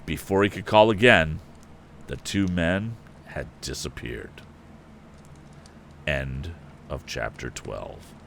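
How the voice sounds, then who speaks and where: Male story reader, low voice, expressive and varied delivery, casual host manner, slight background noise. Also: takes it slowly, creaky, long pauses. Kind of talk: expressive book narration with casual asides. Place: indoor live stream.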